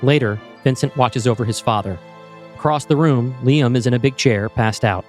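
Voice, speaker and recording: A man narrating a scripted screenplay in the present tense, with measured, descriptive storytelling. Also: medium pitch, darkish tone, faint music behind. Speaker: narrator and host.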